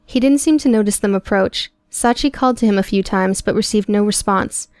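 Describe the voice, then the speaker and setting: A woman's voice: mid-range voice, a little fast, darkish tone, clear, resonant tone, dry recording. Woman narrating a story, dry and close. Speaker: audiobook narrator. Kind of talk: scripted prose narration.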